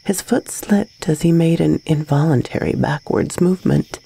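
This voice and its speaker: A woman reading a gothic bedtime story as scripted narration, in a husky, breathy voice with a measured, dramatic delivery. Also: low voice, dark tone.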